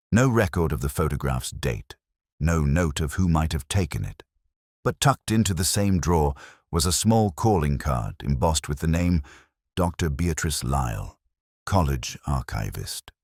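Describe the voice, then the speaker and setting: Very deep, brooding detective narrator, played by a man. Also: husky, dry recording, clean studio sound, heavily acted. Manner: measured, reflective, literary phrasing. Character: melancholy, introspective male police detective recounting a case. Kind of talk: scripted first-person narration of a detective mystery.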